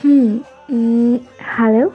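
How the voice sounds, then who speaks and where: A woman voicing an excitable, nervous young female fan who is starstruck and in love, in a story. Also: on the high side, phone-quality sound, over music. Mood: nervous, flustered. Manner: nervous, halting, breathy disbelief when speaking.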